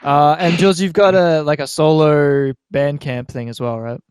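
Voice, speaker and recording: A man's voice: a little high, steady tone, normal speed, darkish tone, clean tone, no room sound. A man with an Australian accent hosting a podcast in a laid-back, slangy, chatty interview style. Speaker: podcast host. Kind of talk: casual interview banter.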